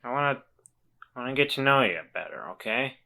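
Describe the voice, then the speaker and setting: Casting: male player as a gentle, anxious friend in a tabletop game; bright tone, halting, repetitive delivery. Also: medium pitch, animated, creaky. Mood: hesitant, friendly. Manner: halting, stammering, repeats words. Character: Walter, worried, gentle, hesitant friend trying to reassure.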